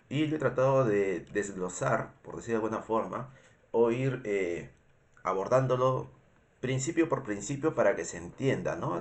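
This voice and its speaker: A man with a Peruvian Spanish accent and a congested voice, giving an informal, didactic medical lecture with frequent repetition and checking questions. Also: fairly low pitch. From Peru. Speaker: medical instructor.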